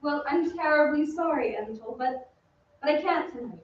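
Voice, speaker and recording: An actress playing a tender-hearted, easily frightened young opera singer in an old-time radio drama. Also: female voice, high voice, a little fast, a little hiss or hum, close to an everyday voice. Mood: polite. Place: theatre stage. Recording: very echoey stage, distant, muffled.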